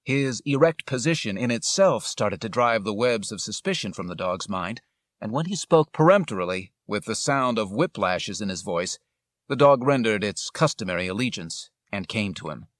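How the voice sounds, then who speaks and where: A man's voice: lowish voice, expressive, normal speed, dry recording. Man narrating a classic story, dry sound. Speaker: audiobook narrator. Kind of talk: scripted literary story narration.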